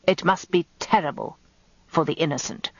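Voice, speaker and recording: A woman voicing a theatrical, wily old male defence barrister with slow, grandiloquent courtroom oratory in a radio drama. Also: sits low, dry recording, muffled recording. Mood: grave, pleading.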